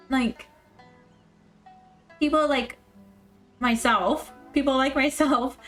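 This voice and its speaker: A woman's voice: on the high side, a bit bright. A woman streaming a video game, chatting informally to viewers in a rambling way, repeating words as she goes. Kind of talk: casual livestream chat. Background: game music.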